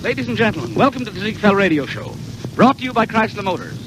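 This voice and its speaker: A man's voice: sits high, very animated, fast, background noise. A man announcing a 1930s radio show in a theatrical, declamatory showman style, on an old broadcast recording.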